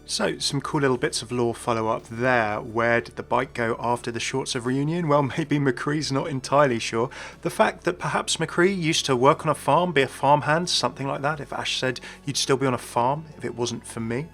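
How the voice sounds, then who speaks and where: A man's voice: medium pitch, moderate pace, gravelly, a bit bright. A man presenting a chatty, inquisitive explainer as a gaming video host, scripted with casual asides. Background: faint background music.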